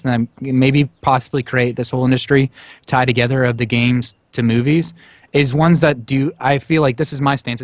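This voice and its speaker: A man's voice: medium pitch, no echo. A North American man in casual podcast discussion, talking in a rambling way and repeating phrases. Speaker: podcast co-host. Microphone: close mic on an internet voice call.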